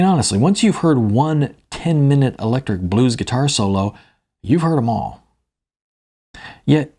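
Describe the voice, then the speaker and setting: A man's voice: mid-range voice, vocal fry, a little mellow. A man reading his own novel aloud in a wry, conversational storytelling manner. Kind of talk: audiobook narration with spoken asides.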